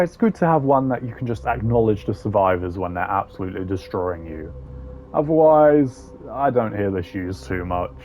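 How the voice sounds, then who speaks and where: Man, fairly low pitch, informal ranking commentary, blunt opinions, gamer slang. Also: dull audio. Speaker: gaming video creator. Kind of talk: casual opinionated commentary ranking game voice lines.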